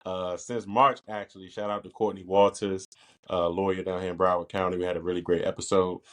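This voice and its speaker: A man from Washington, D.C. with a very deep voice, hosting a casual interview podcast. He talks in an easygoing way and laughs easily as he chats with his guest. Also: flat delivery. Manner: easygoing, laughs often, quick. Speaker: podcast host.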